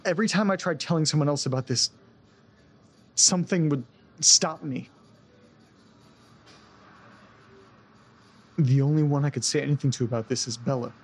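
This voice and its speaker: An actor playing a distressed, desperate man in an audio drama, speaking earnestly and haltingly, often breaking off mid-sentence. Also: on the high side, slightly rough. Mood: agitated, astonished. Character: distressed man recounting a haunted-house ordeal, desperate to get home. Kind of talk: audio drama acting, naturalistic.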